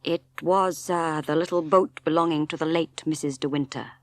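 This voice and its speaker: A woman voicing a gruff, plain-spoken sea captain in an audiobook, blunt, measured and apologetic. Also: sits low, steady tone, no echo, natural voice. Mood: reluctant, grave. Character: gruff, plain-spoken harbour master, a reluctant older captain. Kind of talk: dramatic audiobook character reading.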